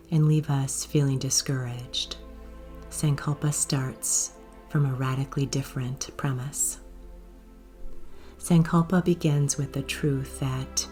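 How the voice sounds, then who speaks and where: A woman guiding a meditation in a soft, gentle, unhurried way, with breathy phrase endings. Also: extremely low pitch. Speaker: yoga nidra guide. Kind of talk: guided meditation narration. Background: soft music.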